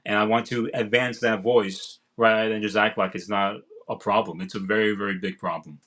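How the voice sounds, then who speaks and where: A young man with a fairly low, loud voice talks over a video call from a room at home. He's earnest and rambling, tripping into restarts and fillers as he goes. It sounds like casual livestream chat. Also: fairly even intonation, brisk. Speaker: political candidate guest. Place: home room with vinyl records.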